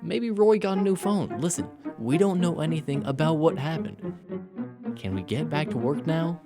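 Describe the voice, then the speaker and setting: An actor playing a defensive, accusatory young college student in an audio drama, insistent and dismissive. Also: male voice, fairly high pitch, animated, normal speed, loud music behind the voice. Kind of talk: scripted audio drama acting.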